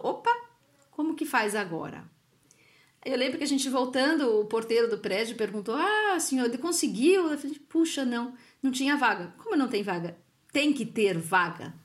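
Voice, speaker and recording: A woman from Brazil, living abroad, telling a family story in a relaxed, animated way, often laughing and acting out what others said. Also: sits high, moderate pace. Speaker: guest. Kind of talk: casual conversational storytelling. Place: Italy.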